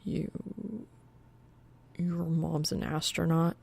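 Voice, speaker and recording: A woman voicing an awkward, smitten young man gamer with a low-key deadpan delivery. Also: slow, vocal fry, heavily acted. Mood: awkward, casual.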